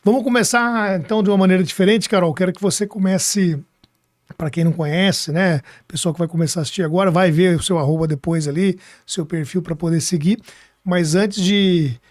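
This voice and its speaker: A man's voice: sits high, steady tone, brisk, darkish tone, no echo, studio quality. A man from hosting a podcast, chatting casually, rephrasing his questions and often seeking agreement. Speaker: podcast host. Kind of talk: casual conversational interviewing.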